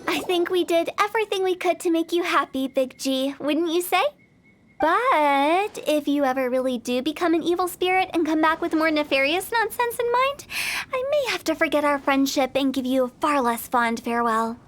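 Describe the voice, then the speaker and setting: A woman's voice: high-pitched, moderate pace, a little hiss or hum, close to an everyday voice. A woman playing a cheeky, playfully morbid young female undertaker in a video game, teasing and theatrical. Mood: teasing, warning. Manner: teasing, theatrical, breezy about death. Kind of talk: video game character dialogue.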